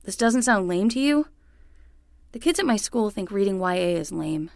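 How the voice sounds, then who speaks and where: A woman's voice: medium pitch, lively intonation, moderate pace, gravelly, dry recording, clean studio sound. A woman playing a kindly, self-conscious teenage nanny with a casual, hesitant manner in a naturalistic fiction podcast. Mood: sheepish. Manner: casual, hesitant teen talk.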